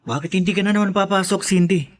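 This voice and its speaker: An actor with a Filipino accent playing a young brother, casual and easygoing, in a podcast drama. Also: male voice, high voice, fast talker, natural voice. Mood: concerned.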